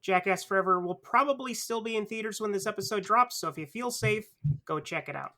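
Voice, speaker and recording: A man's voice: sits high, a little fast, bright, forceful tone. A man on a podcast panel giving a prepared review in a conversational tone.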